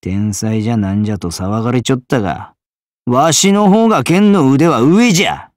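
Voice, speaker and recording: A man voicing a surly, boastful swordsman assassin in a game, with rough, sneering Tosa-dialect speech. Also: mid-range voice, lively intonation, normal speed, a little mellow, dry recording, studio quality, natural voice. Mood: scornful. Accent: Tosa dialect of Japanese. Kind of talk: game character voice lines.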